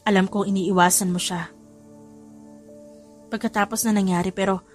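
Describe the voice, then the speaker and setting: A woman playing a caring female friend who gently urges forgiveness in an audio romance story. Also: medium pitch, fairly even intonation, normal speed, faint music behind, natural voice. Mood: gently urging.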